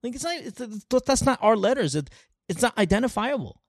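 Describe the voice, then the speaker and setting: An animated podcast host chatting casually and swearing freely. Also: medium pitch, a little fast, no room sound, studio quality. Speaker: talk show host.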